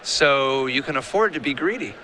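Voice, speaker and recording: Guy commentating a card game, laid back and kidding around, bright sound. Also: mid-range voice, lively intonation, moderate pace. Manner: casual, joking, uses "dude" and "man". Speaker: color commentator. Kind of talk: live tournament commentary with banter.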